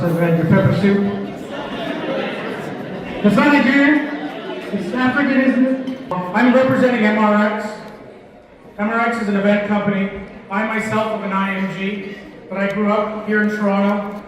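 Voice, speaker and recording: A man from Sri Lanka representing an event company, giving an upbeat promotional pitch to a conference audience. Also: dull audio. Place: large conference hall. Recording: echoey hall, distant mic, crowd noise. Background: crowd chatter.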